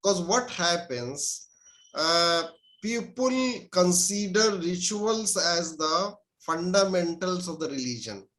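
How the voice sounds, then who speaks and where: A man, an Urdu speaker, answering interview questions as a scholar and pausing often with fillers and restarts. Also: high-pitched, lively intonation, a bit bright, rough recording. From India. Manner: hesitant, many filler sounds and restarts.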